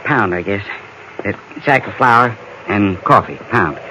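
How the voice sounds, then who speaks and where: Radio Western: superstitious rural Western customer, low drawl, folksy and ominous, very noisy vintage recording. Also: male voice, animated, measured pace. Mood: wary. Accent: American rural Western drawl. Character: spooked, superstitious frontier customer warning a stranger. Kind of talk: old radio Western acting.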